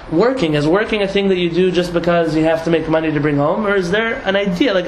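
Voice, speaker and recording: Man, high voice, young rabbi chatting to a class, restarts a lot, echoey noisy room. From San Diego, United States. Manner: lively, laughing, many restarts and asides. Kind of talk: casual talk to a group. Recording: echoey room, audible noise.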